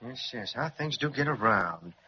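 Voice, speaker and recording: A man's voice: medium pitch, lively intonation, normal speed, a crowd in the background, natural voice. An actor playing a pompous, forgetful snowman who rambles and repeats himself, in an old radio serial. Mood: rambling. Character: jolly snowman directing traffic, rambling and forgetful. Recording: muffled 1930s radio recording.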